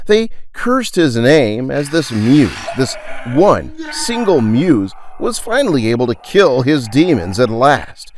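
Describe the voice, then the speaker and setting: A man working as a storyteller, reading scripted dramatic narration with theatrical, emphatic pauses. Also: mid-range voice, background noise.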